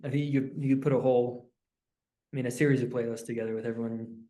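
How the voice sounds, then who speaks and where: A man hosts a relaxed interview in a mid-range voice. His tone is mellow and warm, with short murmured acknowledgments between loose, easygoing questions. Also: medium pitch, fast talker. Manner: brief backchannels, relaxed questions. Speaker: interview host. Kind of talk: casual interview.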